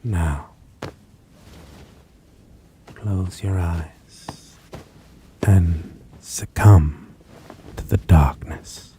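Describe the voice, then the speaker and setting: A man playing a possessive, menacing vampire in a dramatic audio roleplay, speaking in a theatrical, condescending manner with a husky, breathy voice. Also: low-pitched, warm tone, a little hiss or hum. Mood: cold, solemn. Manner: slow, theatrical, archaic wording, condescending endearments. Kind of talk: dramatic audio roleplay acting addressed to listener.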